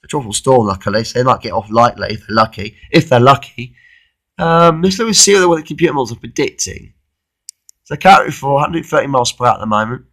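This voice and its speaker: A man of thirty-one, losing his voice with a cold, gives an informal, halting weather forecast commentary and often repeats himself. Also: medium pitch, animated, fairly bright tone. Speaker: amateur weather vlogger.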